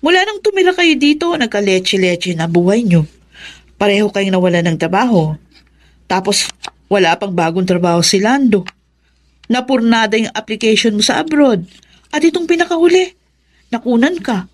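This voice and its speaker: A Filipino actress playing a preachy, superstitious older sister who scolds insistently in a radio drama. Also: female voice, mid-range voice, animated, moderate pace, long pauses, natural voice. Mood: scolding, insistent.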